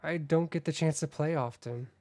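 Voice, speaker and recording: An actor playing a timid, kindly royal attendant in an audio drama, polite and deferential, somewhat hesitant. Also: male voice, a little high, normal speed, dark tone, no echo, clean studio sound, close to an everyday voice. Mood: sheepish.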